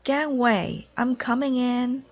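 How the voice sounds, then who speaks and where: A woman voicing an eager, innocent, literal-minded woman from a children's storybook, in a bright, exclamatory way. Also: fairly high pitch, steady tone, slow, highs cut off, pitched above the performer's own voice. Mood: hurried, excited.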